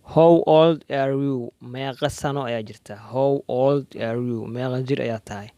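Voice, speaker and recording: A man with a Somali accent teaching English conversation, saying each English phrase slowly and clearly and then explaining it quickly in Somali. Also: medium pitch, steady tone, dark tone, dry recording. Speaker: language teacher. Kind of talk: slow English dialogue drills with Somali explanations.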